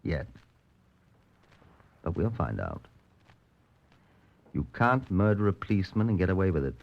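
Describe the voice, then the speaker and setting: An actor playing a dry, determined chief inspector who narrates a vintage radio police drama in measured, understated tones. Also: male voice, sits low, big pitch swings, creaky, exaggerated voice. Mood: grim, determined. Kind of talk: golden age radio police drama acting. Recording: dull, lo-fi old radio recording.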